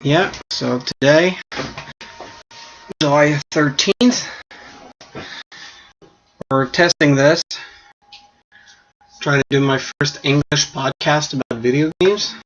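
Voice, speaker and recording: A man's voice: on the high side, slow pace, pauses often, a bit bright. A man whose first language is French, hosting a casual solo podcast and thinking aloud with small restarts. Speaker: podcast host. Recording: dull lo-fi stream audio with faint noise.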